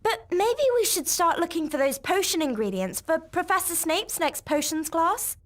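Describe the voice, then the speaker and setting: A woman's voice: extremely high pitch, expressive, normal speed, a bit bright. A woman voicing an earnest schoolgirl witch in a video game. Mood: earnest, urgent. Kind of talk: video game cutscene acting.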